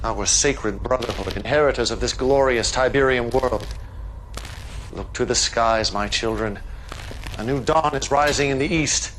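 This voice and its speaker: An actor playing a calm, menacing, grandiose cult leader, preaching solemnly and theatrically in a game's propaganda broadcast. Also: male voice, mid-range voice, a little slow, a little hiss or hum, lightly acted, low-quality recording. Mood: solemn, prophetic. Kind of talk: propaganda broadcast speech in game cutscene.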